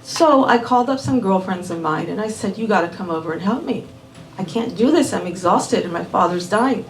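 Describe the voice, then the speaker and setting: A woman raised in Miami telling a personal story live at a small venue, unhurried and measured, dropping in Spanish words. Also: medium pitch, animated, a little hiss or hum. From born in Puerto Rico, raised in Miami. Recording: roomy venue audio from a distant mic.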